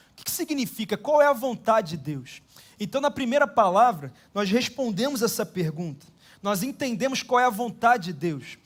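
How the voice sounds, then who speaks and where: A Brazilian pastor with a Brazilian Portuguese accent gives an earnest, measured sermon in church, using frequent rhetorical tags. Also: male voice, sits high.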